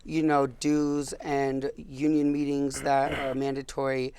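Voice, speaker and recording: A young man hosts a public affairs interview, keeping an easygoing pace with plenty of fillers and repeated words. His voice sits at an average pitch and the recording is dry and close. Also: monotone, takes it slowly, darkish tone, clear, resonant tone, dry recording. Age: young adult. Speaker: host.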